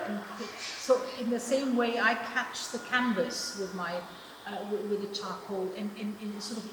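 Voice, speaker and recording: German-accented woman in an echoey gallery. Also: fairly high pitch. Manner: thoughtful, hesitant, many restarts. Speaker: artist. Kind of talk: public conversation about her artwork. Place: exhibition project space. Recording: echoey room with long reverb, noise.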